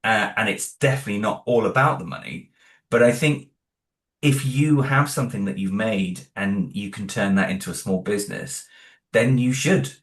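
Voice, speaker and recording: Low-voiced British man in an echoey room. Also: moderate pace. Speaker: interview guest, independent audio drama creator. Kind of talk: casual interview chat. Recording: roomy, echoing room sound.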